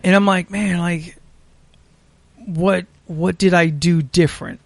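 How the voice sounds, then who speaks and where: American dude on a podcast, high voice w some creak, swears a lot, stops and starts, very dry audio. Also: male voice, fairly even intonation, no room sound. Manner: sweary, halting, self-interrupting storytelling. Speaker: podcast host. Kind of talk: casual comic storytelling.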